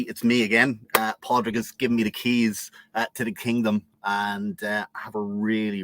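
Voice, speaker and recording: A man's voice: lowish voice, bright, forceful tone, no echo, low-quality recording. Upbeat man hosting a live chat. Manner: upbeat, repeats words, many fillers. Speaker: host. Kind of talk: casual live interview.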